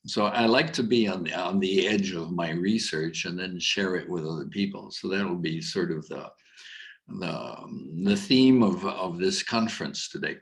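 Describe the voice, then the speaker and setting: A man's voice: low voice, normal speed, vocal fry. A middle-aged man giving a casual, rambling talk on a video call at a conference, with many fillers and restarts. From Algeria. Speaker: coach and conference speaker.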